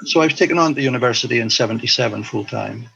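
An older man reflecting on his past in an interview, speaking in a hesitant, halting way. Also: mid-range voice, creaky, fairly bright tone, breathy edge, dull audio. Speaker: interview guest, former teacher abroad. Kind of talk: recollection in an interview.

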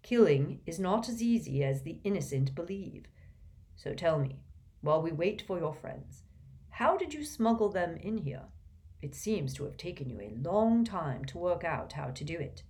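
A woman voicing a gentle, calm old male wizard headmaster who speaks softly and mildly. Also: fairly low pitch. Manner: soft, mild, unhurried.

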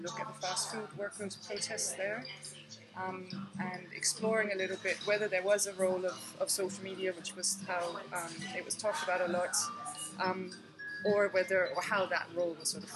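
A woman from Denmark, a professor, answering interview questions in a tea room in a thoughtful, measured way with many fillers. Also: on the low side, low-quality recording. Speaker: professor, guest. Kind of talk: podcast interview.

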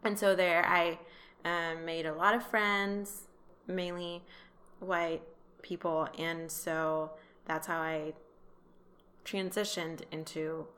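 A woman from Iran telling her personal story as an interview guest, speaking haltingly and repeating words as she thinks. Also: lowish voice, little pitch movement, fairly bright tone.

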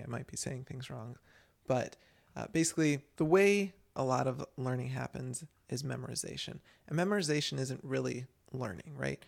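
Gravelly, chatty male podcast co-host. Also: mid-range voice, a little mellow. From United States. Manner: chatty, rambling with many restarts. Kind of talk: casual chat.

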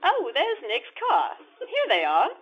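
A woman playing a fussy, flustered, well-meaning older grandmother with a halting manner in an old-time radio comedy. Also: high-pitched, huge pitch swings, noisy background, heavily acted. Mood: motherly. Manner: fluttery, halting. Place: live theater stage. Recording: dull live stage audio.

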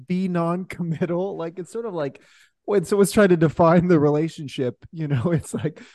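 Guy a bit high pitched, joking around on a podcast, stop-start, dry close sound. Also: moderate pace, warm tone, no room sound. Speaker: podcast co-host. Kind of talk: casual banter. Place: remote video call.

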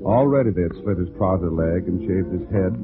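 Hard-boiled private eye male actor, deadpan and wry, deep register, 1940s radio sound. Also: fairly quick, natural voice. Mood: wry, grim. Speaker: lead actor and narrator. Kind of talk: 1940s radio detective acting, first-person narration. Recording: tinny 1940s radio broadcast with music.

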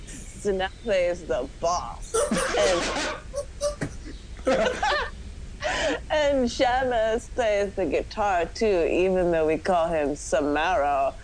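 A woman's voice: lowish voice, animated, drawn-out words, pauses often, noisy background. A woman giving a comic dramatic reading of fan fiction, often giggly as she goes. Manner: giggly, often breaking into laughter. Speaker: podcast host doing a dramatic reading.

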